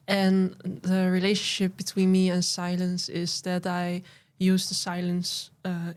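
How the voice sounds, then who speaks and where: A woman speaking as a poet guest in a relaxed interview, answering in halting phrases with many fillers. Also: fairly low pitch. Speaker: podcast guest, spoken word poet. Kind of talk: casual conversational interview.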